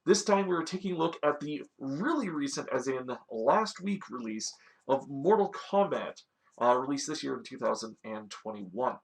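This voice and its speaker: A man with a mid-pitched, creaky voice hosts a review in a casual, informal style. He talks conversationally, as if chatting to regular viewers, with a noticeable gravelly fry in his delivery. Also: lively intonation, normal speed, rough recording. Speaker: host of a video game movie review show. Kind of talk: casual review talk with story recap.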